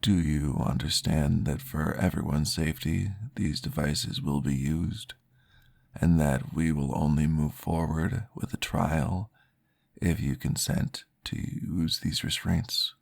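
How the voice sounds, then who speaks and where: A man voicing a calm, formal male vampire therapist in an ASMR roleplay, speaking in a measured, reassuring way. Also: extremely low pitch, gravelly, exaggerated voice.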